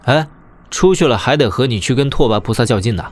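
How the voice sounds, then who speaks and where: A man's voice: mid-range voice, animated, fast talker, lightly acted. A man playing a wry, easygoing young noble swordsman in a martial-arts audio drama, chatting in a teasing, conversational way.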